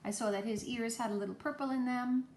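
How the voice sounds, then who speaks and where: A woman's voice: on the high side, steady tone, fast, a little mellow. A woman teacher talking to young children in a homemade lesson video, encouraging them with simple questions and explanations. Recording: echoey room, faint background noise.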